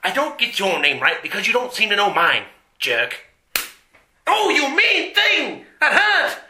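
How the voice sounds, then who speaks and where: A man's voice: high voice, huge pitch swings, a little slow, bright, forceful tone, echoey room, a little hiss or hum, exaggerated voice. A man playing an easily offended, whiny western villain boss in a comedy radio play, theatrical and indignant.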